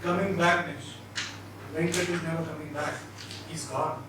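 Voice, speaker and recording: A man's voice: a little high, fairly even intonation, normal speed, natural voice. A man reading the part of a shaken young man breaking grim news, in a dramatised stage reading. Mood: grave. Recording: very echoey hall, distant, loud noise.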